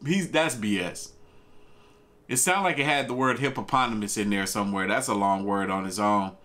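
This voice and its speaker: A man's voice: medium pitch, fairly bright tone. A man, reacting casually to clips at home, chuckling and talking to viewers. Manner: laid-back, chuckling, talks to viewers. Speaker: reaction video host. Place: home room with bookshelves and gaming chair.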